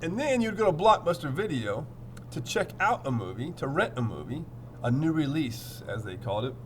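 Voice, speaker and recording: A man's voice: on the high side, very animated, normal speed, a little hiss or hum. A man teaching through a storybook read-aloud, playful and theatrical, repeating phrases and chatting to his pupils.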